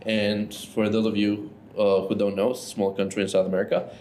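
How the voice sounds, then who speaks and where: A man's voice: lowish voice, fairly quick, a little hiss or hum. A man in his thirties from Ecuador, telling his story as a podcast guest in a loose, casual way full of "like" and "right?". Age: 30s. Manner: relaxed, loose, lots of "like" and "right?".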